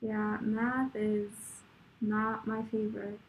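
A woman's voice: medium pitch, little pitch movement, slow pace, phone-quality sound, a little hiss or hum. A young woman hosting a casual interview podcast, encouraging her guest with frequent backchannels. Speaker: podcast host.

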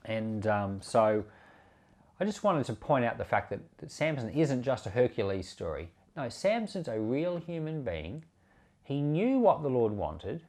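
A man's voice: mid-range voice, very animated, unhurried, a little mellow. A man giving an informal Bible commentary as a pastor, conversational and emphatic, explaining things with examples. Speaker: pastor and Bible podcast host.

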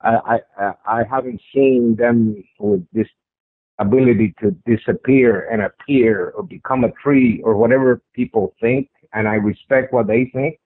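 A man's voice: mid-range voice, steady tone, moderate pace, dry recording. A man with a strong voice giving a first-person account in an interview, speaking conversationally and repeating phrases for emphasis. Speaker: interview guest recounting a personal sighting. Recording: tinny phone-like radio line.

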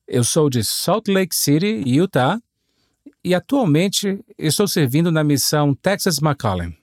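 Higher-pitched man, dry close sound. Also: sing-song, a little slow, no room sound. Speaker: missionary guest. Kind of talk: personal storytelling.

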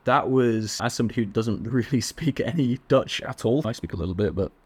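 A young man from England with an English accent, a guest telling stories in a relaxed, chatty way with frequent laughs and fillers. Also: medium pitch, brisk, slightly rough. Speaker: podcast guest. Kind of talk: casual interview chat.

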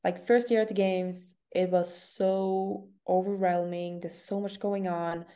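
A young woman from northern Norway talks in English with a Norwegian accent, a relaxed interview guest who laughs easily. Her voice sits low and sounds warm and mellow. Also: measured pace. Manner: candid, laughs often. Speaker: CrossFit athlete guest. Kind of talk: casual interview.

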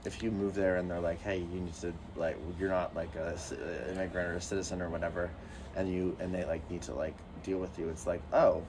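A man's voice: low-pitched, level tone, brisk, noisy background. A man co-hosting a podcast in casual chat, blunt and wry with plenty of fillers. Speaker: podcast co-host.

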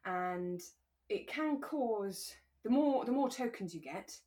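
A woman co-hosting a relaxed conversational podcast, talking in a candid, reflective and careful way. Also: medium pitch, slow. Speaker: podcast co-host.

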